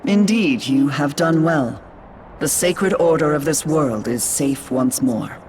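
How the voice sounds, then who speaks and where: An actress playing a stern, lofty angel commander who speaks slowly and solemnly, judging and proclaiming. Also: female voice, low-pitched, lively intonation, noisy background. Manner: slow, solemn, proclaiming, judgmental. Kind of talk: game cutscene acting. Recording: game music clearly behind voice.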